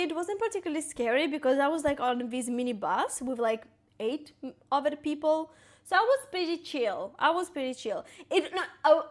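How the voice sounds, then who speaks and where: A woman in her twenties from Ukraine, speaking with an Italian accent, chatting casually with live-stream viewers and reading their messages aloud while cooking. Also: high voice. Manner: chatty, talks to viewers and reads chat aloud, lots of "like".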